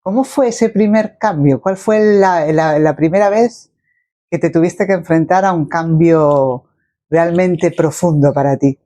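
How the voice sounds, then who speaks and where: A man's voice: a little high, measured pace, dark tone. A man hosting a conversational interview, phrasing his questions in a reflective, elaborate way. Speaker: interviewer, host.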